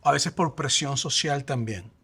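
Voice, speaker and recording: A man, a pastor, explaining faith and identity in a lively conversational way, acting out quoted examples. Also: mid-range voice, measured pace, creaky, slightly rough.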